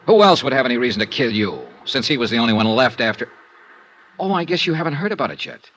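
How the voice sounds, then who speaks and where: A man's voice: mid-range voice, very animated, quick pace, fairly bright tone, lo-fi sound. An actor playing a cynical, world-weary male insurance investigator in a terse, sardonic 1950s radio noir style. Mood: cold, sardonic. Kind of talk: 1950s radio noir acting and first-person narration. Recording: noisy old radio drama recording.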